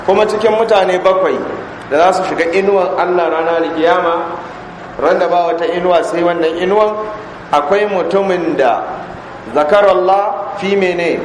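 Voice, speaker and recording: A man with a Hausa accent, a Nigerian Islamic scholar teaching in a mosque, reading Arabic lines and then explaining them slowly. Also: sits high, steady tone. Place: large mosque hall. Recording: echoey hall recording with background noise.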